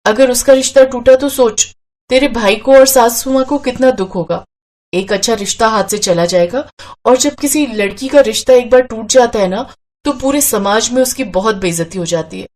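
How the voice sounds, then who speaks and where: A woman's voice: a little high, moderate pace, darkish tone, close to an everyday voice. Woman playing a sensible young sister-in-law. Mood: reproachful, advising.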